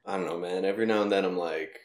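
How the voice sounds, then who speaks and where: A man's voice: low voice, fairly even intonation, rapid-fire, reverberant. A man in his thirties hosting a podcast, easygoing, asking questions and saying yeah a lot. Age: 30s. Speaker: podcast host. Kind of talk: casual podcast chat.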